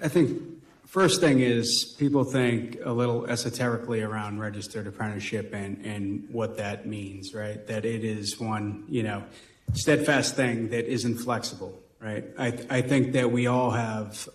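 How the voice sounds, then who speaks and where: A man's voice: medium pitch, steady tone, unhurried. A man with a Boston accent answering as a panelist in a roundtable discussion, talking things through with frequent "right?" tags. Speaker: panelist on workforce. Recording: roomy echo.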